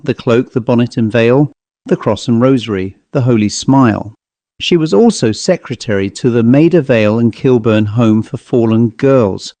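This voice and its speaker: A man narrating a classic ghost story in a measured, deliberate reading. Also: medium pitch, mellow, no echo. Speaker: audiobook narrator.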